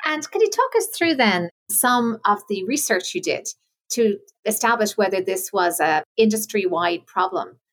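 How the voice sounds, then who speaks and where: A woman hosts a podcast with a mid-range voice. She reads a formal introduction and then asks her questions in a polite, measured way. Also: medium pitch, animated, a bit bright. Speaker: podcast host. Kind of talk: scripted intro and interview questions.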